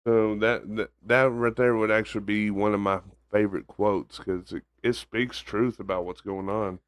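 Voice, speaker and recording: A young man with a monotone baritone voice, co-hosting a casual podcast chat. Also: moderate pace, dry recording, studio quality. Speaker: podcast co-host.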